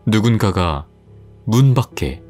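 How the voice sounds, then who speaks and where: A man narrating a horror story in a measured, suspenseful style. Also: lowish voice, expressive, a little mellow. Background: faint music.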